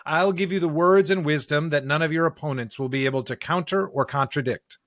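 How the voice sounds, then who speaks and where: A man's voice: on the high side, a little fast, fairly bright tone, dry recording, clean studio sound. An American man co-hosting a church podcast, chatting casually and reading scripture. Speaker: podcast co-host and pastor.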